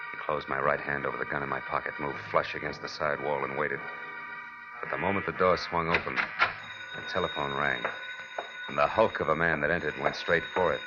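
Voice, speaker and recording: An actor playing a hard-boiled private detective, narrating a 1940s radio noir in a terse, wry, tough manner. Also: male voice, very deep voice. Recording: dull old radio recording, music underneath.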